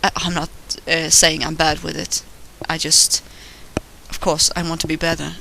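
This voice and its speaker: Bright high-voiced Swedish man, rambling. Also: level tone, moderate pace, creaky, a little hiss or hum, rough recording. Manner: rambling, self-deprecating, swears casually. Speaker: digital artist narrating his drawing. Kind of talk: casual commentary over a drawing. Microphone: voice-over recorded while drawing.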